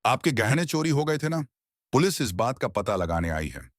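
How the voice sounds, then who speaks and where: A man's voice: mid-range voice, big pitch swings, normal speed, dry recording, clean studio sound, lightly acted. A man voicing a protective, righteous young brother in a cartoon moral story, speaking earnestly and admonishingly. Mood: pointed.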